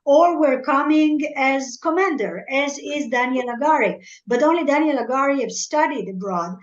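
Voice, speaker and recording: A middle-aged woman from Algeria whose first language is French, speaking as a guest analyst in an earnest, measured way and often repeating words as she searches for them. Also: sits high. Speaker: guest analyst, former military spokesperson. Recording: echoey room, dull lo-fi audio.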